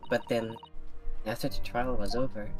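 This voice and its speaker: Fan-dub reader, sex ambiguous: mid pitch, wry delivery, clear music underneath. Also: steady tone, measured pace, rough recording. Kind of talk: amateur fan dub of a detective game with friends.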